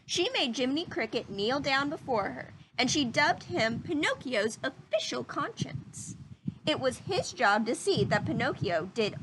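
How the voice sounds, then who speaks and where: A woman's voice: high-pitched, very animated, measured pace, background noise. A woman reading a children's story aloud expressively, with little asides to her listeners.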